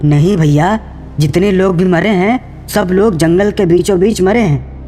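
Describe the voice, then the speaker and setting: An earnest, deferential older villager from a cartoon story, played by a man. His voice is fairly high and sits in a long reverb, with faint music behind it. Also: a little slow, dark tone. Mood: fearful, insistent. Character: grateful, superstitious older male villager. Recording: long reverberant hall echo.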